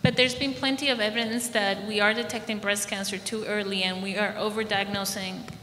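A woman in the audience asking a panelist a slow, halting question. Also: mid-range voice, fairly even intonation, sharp tone, slight background noise. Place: large conference ballroom. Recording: echoey hall with long reverb.

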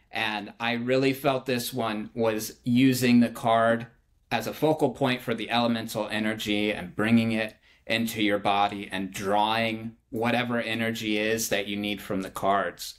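A man in his thirties hosting an interview from home over a video call, asking questions in an upbeat way. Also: medium pitch. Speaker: podcast host. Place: home room with bookshelf.

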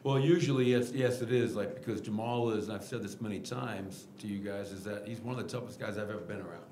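A middle-aged man, a basketball head coach, answering reporters' questions at a post-game press conference podium with a table mic, candid and animated. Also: on the low side, quick pace, echoey room, slight background noise. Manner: candid, animated, gestures while explaining. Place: press room at team podium. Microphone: table mic in front of him.

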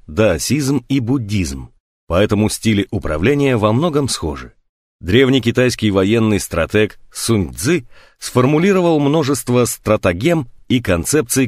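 A man reading a nonfiction audiobook in a measured, scripted narration with clearly articulated words. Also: on the low side, no echo, clean studio sound.

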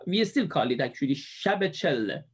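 A man's voice: a little high, big pitch swings, moderate pace, breathy edge. A man in his thirties with a Persian accent, giving a recorded class presentation from a small room into a webcam, with many fillers and restarts. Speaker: student presenter.

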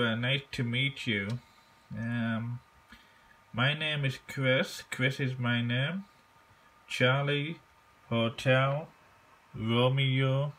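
An Englishman making an amateur radio contact, speaking haltingly and deliberately and repeating key phrases. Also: mid-range voice, fairly bright tone, poor audio. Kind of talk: ham radio contact, phonetic spelling.

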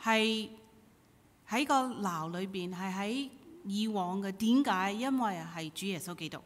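A woman's voice: medium pitch, expressive, unhurried. A middle-aged woman interpreting a sermon in emphatic, short phrases. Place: church hall pulpit. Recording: reverberant hall through PA.

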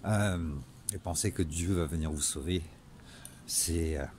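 A man, speaking an earnest, improvised monologue to camera in a halting way with searching pauses. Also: deep voice, a little mellow. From Marseille, southern France. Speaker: vlogger. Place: outdoors in a town street at night, later inside a car. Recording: noisy phone selfie audio, ambient noise.

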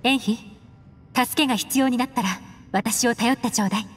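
A worldly, enigmatic woman investigator from a video game, played by an actress. She speaks in a poised, measured way at a fairly high pitch, with long reverb and music behind. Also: steady tone, lightly acted. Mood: resolute. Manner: poised, measured, feminine speech.

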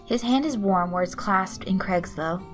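A woman's voice: mid-range voice, moderate pace. Woman narrating a story over music. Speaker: fan fiction reader. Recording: soft music behind the voice. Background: guitar loop, night insect sounds.

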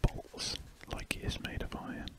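A man with a British accent whispers breathily and slowly in an unscripted, hesitant ASMR ramble as he works at a desk, close to the microphone. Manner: slow, hesitant, trailing musings. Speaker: host of a drawing ASMR channel. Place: indoors at a desk.

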